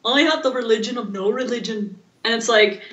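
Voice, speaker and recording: Bright, high-voiced woman in an echoey room. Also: a little fast, highs cut off, slight background noise. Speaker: podcast co-host. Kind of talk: casual chat.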